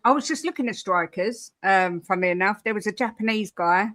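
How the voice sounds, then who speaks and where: Woman panelist on a football talk show, halting and unsure, medium pitch. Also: fairly bright tone, slight background noise. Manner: hesitant, many fillers. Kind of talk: casual football chat.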